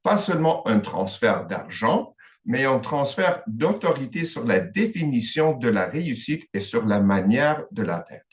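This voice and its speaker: Man explaining in a lively, varied tone. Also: medium pitch. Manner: measured, deliberate, with occasional restarts. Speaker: panelist. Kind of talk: explaining an example on a webinar panel.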